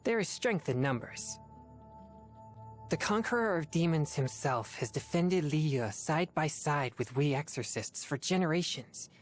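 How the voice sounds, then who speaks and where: A woman voicing an earnest, formal teenage boy exorcist in a video game, speaking in a measured way. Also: low-pitched, lively intonation, faint music behind. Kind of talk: video game character voice lines.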